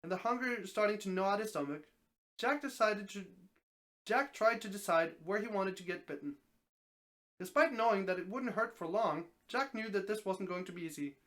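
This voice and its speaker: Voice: medium pitch, lively intonation, normal speed, fairly bright tone. A person narrating a story aloud in a live dramatic reading, sometimes tripping over words and rereading them.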